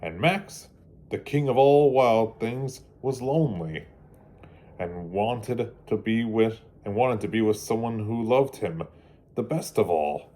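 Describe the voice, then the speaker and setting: A man narrating a storybook for children in a deliberate, halting way with dramatic pauses. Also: on the low side, warm tone. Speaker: read-aloud storyteller for children.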